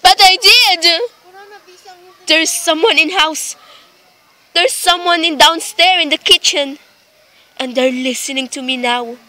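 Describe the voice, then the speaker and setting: Woman acting anxious invalid wife, imperious, fretful, high sharp voice, unhurried pace, radio drama. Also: exaggerated voice. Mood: terrified. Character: nervous, demanding invalid wife, terrified and alone.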